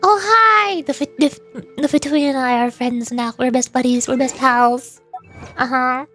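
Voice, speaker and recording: Woman, high voice, game streamer, casual chatty delivery, reads aloud haltingly, music playing behind. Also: pushed and bright. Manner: chatty, stumbles over hard names, reading chat aloud. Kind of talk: casual livestream chat while gaming.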